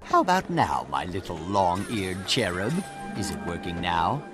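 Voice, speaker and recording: Low-voiced, doting little tinkerer. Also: male voice, sing-song, a little slow, music in the background. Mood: coaxing, fond. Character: doting, scatterbrained male asura sweetheart and golem tinkerer. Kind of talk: scripted game cutscene acting.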